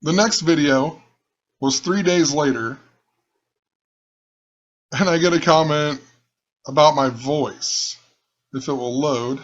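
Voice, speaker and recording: Rough-voiced man, lo-fi recording. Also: fairly high pitch, slow, long pauses. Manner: musing, rambling, repeats himself. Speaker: channel host. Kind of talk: casual screen-share commentary.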